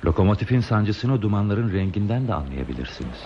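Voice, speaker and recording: A man's voice: lowish voice, very animated. An actor playing a lonely, talkative man who is dreamily devoted to trains, musing poetically in a radio play. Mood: musing, wistful. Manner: musing, poetic, slow pauses in monologue. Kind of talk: radio theatre acting. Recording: dull, lo-fi radio play tape, hissy.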